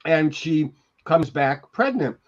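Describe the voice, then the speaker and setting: Older man for panel reminiscence: a touch high-pitched, emphatic and rambling, on a dull-sounding call from home. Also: lively intonation. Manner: digressive, halting, emphatic. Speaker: podcast host, former TV producer. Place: home room, video call. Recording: dull, muffled video call audio.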